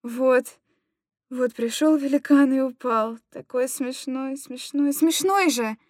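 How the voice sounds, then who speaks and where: A woman's voice: sits high, takes it slowly, a little mellow, dry recording, clean studio sound. A woman playing an anguished, tender mother who coos simple, repetitive stories to her little boy in a dramatic monologue. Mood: tender, aching. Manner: cooing, repetitive, childlike storytelling to a small child. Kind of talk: dramatic literary monologue reading.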